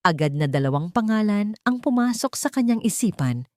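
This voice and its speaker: Female dramatic storyteller, emphatic scripted read, highly varied intonation, very dry recording. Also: animated, moderate pace, no room sound. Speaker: story narrator.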